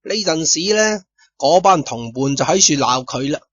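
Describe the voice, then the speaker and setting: A man's voice: fairly high pitch, lively intonation, normal speed, a bit bright, breathy edge, no room sound. A man narrating a story as a storyteller, chatty with casual asides to listeners. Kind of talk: storytelling narration of a radio drama. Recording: dull lo-fi recording.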